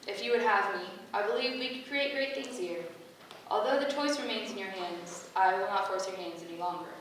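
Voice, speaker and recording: A woman's voice: medium pitch, brisk, fairly bright tone, natural voice. A woman playing a benevolent, motherly goddess with formal, ceremonial oratory in a staged play reading. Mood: grand. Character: benevolent motherly female goddess, grand and solemn, gathering her heralds. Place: echoey indoor venue at a staged reading. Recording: very echoey room from far off, faint noise.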